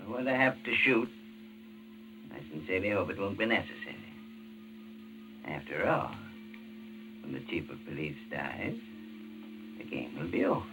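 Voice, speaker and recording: An actor playing a menacing, mock-courteous villain in a 1940s radio thriller, with a mannered, theatrical delivery. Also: male voice, sits low, big pitch swings, moderate pace, creaky, pauses often, full character voice, low-quality recording. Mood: calm menace. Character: gloating male villain in surgical mask, menacing, theatrical, courteous. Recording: old radio broadcast with loud music.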